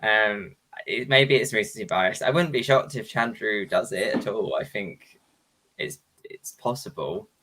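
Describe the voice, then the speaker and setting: A man with a low, bright voice chats casually as a show co-host. He feels his way through his thoughts with some hesitation, over audible background noise. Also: animated, measured pace. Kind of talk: casual chat about match predictions.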